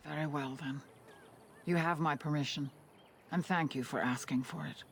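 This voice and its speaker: Protective elven keeper, noisy game audio. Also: male voice, on the high side, steady tone, normal speed, creaky. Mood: concerned, formal. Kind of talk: video game voice acting.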